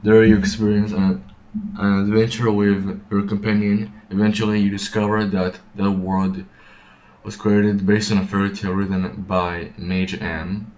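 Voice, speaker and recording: A man streaming a game, reading story text aloud haltingly and repeating words as he stumbles. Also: on the low side, level tone, a little mellow. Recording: echoey room, distant mic, game music.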